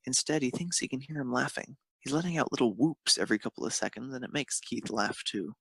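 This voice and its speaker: A man's voice: mid-range voice, lively intonation, a little fast, gravelly, slightly rough, no room sound. A man narrating a piece of fan fiction, reading it aloud.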